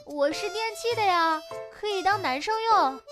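A woman's voice: very high voice, animated, normal speed, music in the background. A woman playing a bubbly, pushy young job applicant in a radio drama, gushing and playfully wheedling. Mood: eager pleading. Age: young adult.

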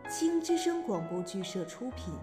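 A woman's voice: fairly high pitch, sing-song, normal speed. A woman announcer reading an audio drama's title credits as a scripted introduction. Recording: echoey long reverb over loud music.

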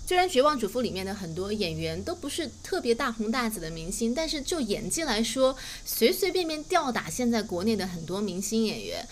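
A woman's voice: a little high, sing-song, a little fast, hardly any pauses. A woman whose native language is Mandarin, explaining phrases as the host of a language lesson. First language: Mandarin Chinese. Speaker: English teacher, host. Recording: faint background noise.

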